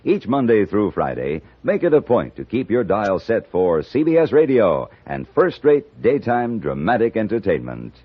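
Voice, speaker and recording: Male American 1950s network announcer, polished and folksy, deep and lively, muffled broadcast sound. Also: moderate pace, few pauses, slightly rough. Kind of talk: 1950s radio promotional announcing, scripted. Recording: muffled old radio broadcast recording.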